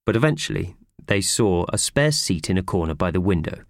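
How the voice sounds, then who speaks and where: A man narrating a children's story from a script in an expressive, animated way. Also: low-pitched, brisk, vocal fry, no room sound, clean studio sound. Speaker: audiobook narrator.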